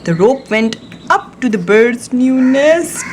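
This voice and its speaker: A woman reading a picture book aloud to her young child, expressive and simple in her wording, often prompting with questions. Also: on the high side, slow, a little hiss or hum. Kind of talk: storybook read-aloud with questions and chat to a child.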